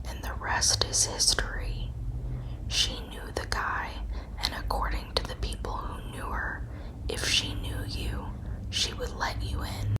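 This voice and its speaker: A woman narrating a script in a hushed, breathy ASMR whisper, with measured delivery. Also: low-quality recording. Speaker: true crime ASMR narrator.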